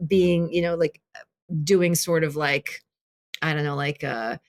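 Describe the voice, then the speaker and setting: A woman's voice: low voice, steady tone. A woman whose first language is Arabic, a filmmaker and author speaking as a podcast guest, talking reflectively with many restarts. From grew up in Kentucky, USA. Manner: reflective, halting, many restarts and "you know". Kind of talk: casual interview conversation.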